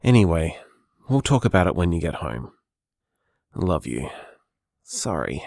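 Audio drama: worried, conscientious boyfriend played by a man, lowish voice, hesitant and earnest, dry close recording. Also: very animated, unhurried, gravelly, a little mellow, dry recording, exaggerated voice. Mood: flustered, apologetic. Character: conscientious, anxious, apologetic man, loving partner, on voicemail. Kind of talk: intimate audio drama monologue.

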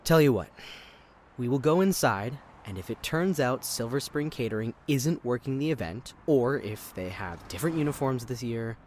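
An actor playing a confident, reassuring ringleader of amateur thieves in an audio drama. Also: male voice, mid-range voice, lively intonation, moderate pace, darkish tone, slight background noise, close to an everyday voice. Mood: insistent. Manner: reassuring, persuasive.

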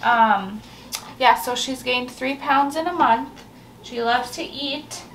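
A woman in her thirties with a raspy morning voice, chatting casually to a handheld camera as she vlogs her day at home. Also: high voice, slow pace, slight background noise, poor audio. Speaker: family vlogger and mother. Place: rooms at home, indoors. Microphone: camera at arm's length, sometimes set down.

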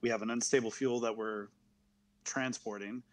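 A man playing a tired, officious spaceship captain in his thirties in an online roleplay, speaking in halting procedural language. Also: medium pitch, steady tone, natural voice. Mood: firm, procedural. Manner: halting, procedural, bureaucratic delivery. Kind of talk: improvised online tabletop roleplay.

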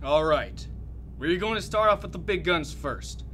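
A man's voice: a little high, expressive, measured pace, a bit bright, slight background noise. A man playing an overconfident, bullish American military commander in an audio drama, commanding and brash. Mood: brash confidence.

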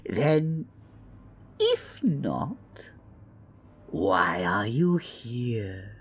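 A woman's voice: extremely low pitch, very animated, husky, pitched below the performer's own voice, full character voice. A woman voicing a genteel, sly witch in an audio roleplay, coaxing and knowing, drawing out her words theatrically. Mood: probing, knowing. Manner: drawn-out, coaxing, calls listener dear.

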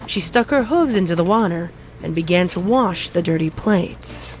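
Animated low-voiced woman telling a story. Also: normal speed. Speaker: narrator. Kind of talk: scripted comedic storytelling. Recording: faint music behind the voice.

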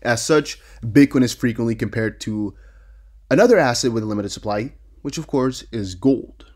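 A man's voice: mid-range voice, normal speed, a little mellow. A young man whose first language is Dutch, casually reading and commenting on articles, often stumbling and repeating words. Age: young adult. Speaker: crypto news youtuber.

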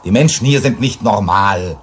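Gruff colony boss for sci-fi radio play, male actor: very low voice, commanding, indignant, faint background noise. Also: lively intonation, normal speed, natural voice. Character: gruff, irascible, authoritarian male mining director on Venus. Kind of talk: German radio drama acting.

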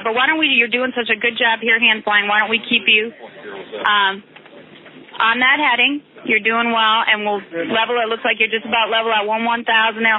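A woman's voice: a little high, phone-quality sound. A woman air traffic controller giving reassuring, encouraging instructions to a pilot over aviation radio.

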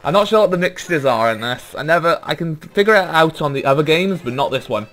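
A man's voice: fairly high pitch, animated, fast, fairly bright tone, faint music behind. A young Welsh man giving rambling, laid-back let's play commentary, trailing off with ums as he goes through menus. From Wales. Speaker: gaming YouTuber.

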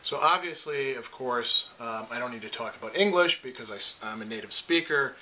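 A man's voice: medium pitch, brisk, pushed and bright, a little hiss or hum, poor audio. A man from Wisconsin with an Upper Midwest American accent, talking casually to camera as a philosophy lecturer and often restarting his phrases. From Wisconsin, USA. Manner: thoughtful, repeats words as he restarts. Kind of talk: casual personal talk to camera.

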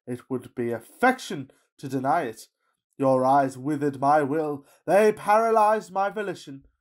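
A man playing a fussy, passionate Victorian gentleman in a farcical radio play, with pompous, declamatory delivery. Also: fairly high pitch, a little slow, lightly acted. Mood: defiant. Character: melodramatic, fussy Victorian gentleman suitor, self-pitying and passionate.

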